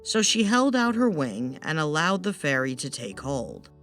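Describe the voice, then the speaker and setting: A woman's voice: sits low, big pitch swings, measured pace, a bit bright, soft background music. A woman narrating a scripted fairytale as a storyteller.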